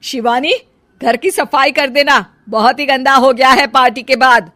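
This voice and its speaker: A woman's voice: high voice, fairly even intonation, unhurried, bright, forceful tone. A woman playing a bossy, suspicious middle-aged mother-in-law in a family story, scolding and firing exclamatory questions. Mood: scolding, demanding. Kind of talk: dramatized Hindi family storybook acting.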